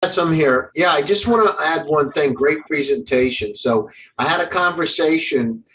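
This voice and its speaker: A man's voice: on the high side, normal speed, a bit bright. A man judging a startup competition, giving rambling, friendly feedback. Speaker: judge. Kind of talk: casual feedback on a video call. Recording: distant mic with faint background noise.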